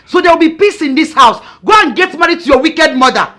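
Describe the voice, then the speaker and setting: A woman's voice: high-pitched, expressive, fast talker, fairly bright tone. A woman playing a furious wife who scolds and threatens others. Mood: angry. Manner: scornful, threatening. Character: furious young wife named Blessing.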